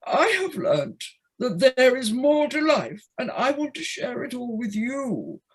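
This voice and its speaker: A woman playing a proud, foolish male toad in an audio play, grandiose and melodramatic, with a husky voice. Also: on the high side, big pitch swings, a little slow, heavily acted, low-quality recording. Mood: grandiose, repentant.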